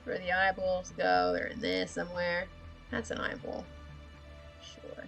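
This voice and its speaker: A woman's voice: lowish voice, sing-song, a little slow, vocal fry, fairly bright tone, soft background music. A young woman from Australia with a slightly American-drifting Australian accent, chatting casually and rambling with self-deprecating laughs while drawing on a live stream.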